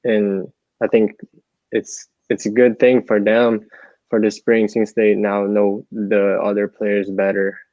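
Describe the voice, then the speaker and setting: Young man, native portuguese, low flat voice, slow, soft, dry muffled call audio. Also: no room sound. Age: 20s. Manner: soft, hesitant, many likes. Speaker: student athlete. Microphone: video call.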